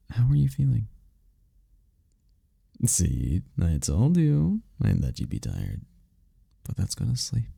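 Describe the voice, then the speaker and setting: Man voicing a deep, gravelly, tender incubus boyfriend. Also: dry recording, clean studio sound, exaggerated voice. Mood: soothing, affectionate. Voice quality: gravelly, dark low voice. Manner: soft, soothing, teasing, lapses into whispers. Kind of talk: intimate ASMR roleplay, comforting. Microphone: close microphone, dry.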